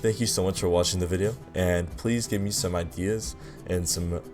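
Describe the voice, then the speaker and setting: A man's voice: low voice, steady tone, moderate pace, darkish tone. A man narrating a scripted video devlog, explaining things directly to his viewers. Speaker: video creator, game developer. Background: music.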